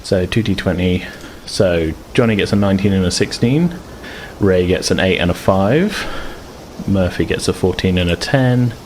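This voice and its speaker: A man's voice: sits low, normal speed, a little mellow, slight background noise. A man improvising narration as the game master of a tabletop game, hesitantly piecing his phrases together.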